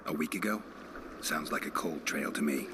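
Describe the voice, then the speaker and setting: A stoic monster hunter from a fantasy video game. His voice sits very low, and his lines are short, dry and deadpan. Clear background noise runs under the dialogue. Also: moderate pace. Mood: dry, composed. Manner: deadpan, terse.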